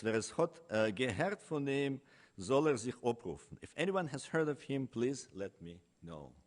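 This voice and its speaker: A man giving an academic lecture as a conference speaker, in a hesitant, halting way with drawn-out pauses. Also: mid-range voice.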